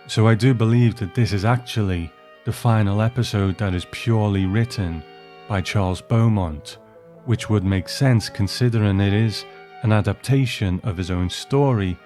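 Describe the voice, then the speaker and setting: A man with a low voice hosts a scripted episode commentary. His delivery is measured and explanatory, and light music plays behind him. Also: mellow. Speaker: host of a commentary show on an old TV series.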